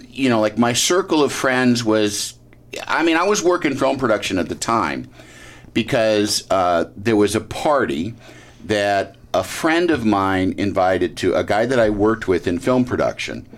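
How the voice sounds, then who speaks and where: A middle-aged man, a guest on a talk show, telling a story in casual chat, laughing and mocking himself, with lots of restarts. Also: lowish voice. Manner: animated, laughing, self-mocking, many restarts. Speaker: actor and guest.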